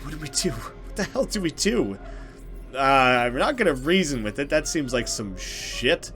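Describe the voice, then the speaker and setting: A man's voice: mid-range voice, big pitch swings, normal speed, fairly bright tone, soft background music. A man hosting a let's play, reading a horror visual novel aloud with casual commentary.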